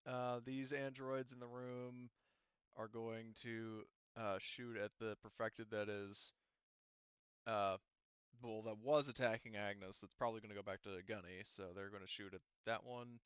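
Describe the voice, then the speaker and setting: A man acting as game master in an online roleplaying session, thinking aloud and haltingly working through rules and dice. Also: on the low side, steady tone, fairly bright tone, clean tone, no room sound, lo-fi sound.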